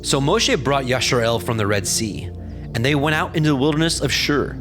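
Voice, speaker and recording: A man's voice: medium pitch, animated, brisk. A man narrating scripture from a script in a solemn, formal storytelling manner. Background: prominent music.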